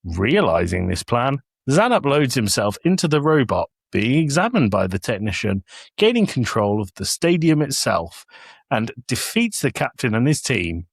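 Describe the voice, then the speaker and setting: A man's voice: mid-range voice, very animated, moderate pace, creaky, husky, dry recording, studio quality. A man co-hosting a podcast, chatting casually and reading summaries aloud in a jokey, playful way. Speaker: podcast co-host.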